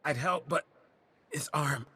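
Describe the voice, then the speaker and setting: A battered soldier in a video game. His voice is husky and a little high, and he talks in an earnest, halting, weary way, like someone giving a field report. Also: pushed and bright, heavily acted. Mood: shaken, weary. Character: weary wounded male soldier, grateful and earnest. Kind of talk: video game cutscene acting.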